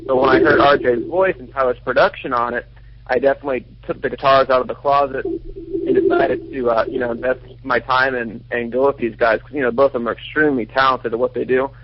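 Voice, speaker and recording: A man in his twenties, a band guitarist, explaining earnestly and quickly in a radio interview, often correcting himself. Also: mid-range voice, steady tone, no room sound. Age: 20s. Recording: dry, muffled lo-fi radio recording.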